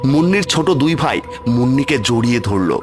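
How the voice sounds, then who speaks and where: A man's voice: medium pitch, lively intonation, moderate pace. Male horror storyteller over music. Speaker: narrator. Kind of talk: scripted horror story narration. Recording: music bed under the voice.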